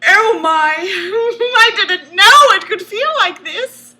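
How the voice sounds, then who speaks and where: Woman putting on an overdramatic, high-pitched pleading young woman. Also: slow pace. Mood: overwhelmed.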